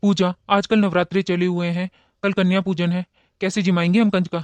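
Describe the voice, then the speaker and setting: A man's voice: high-pitched, level tone, fairly quick, darkish tone, dry recording, lo-fi sound, natural voice. A man playing a humble, loving young husband in a cartoon moral story, speaking earnestly. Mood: apologetic, worried. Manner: earnest, apologetic.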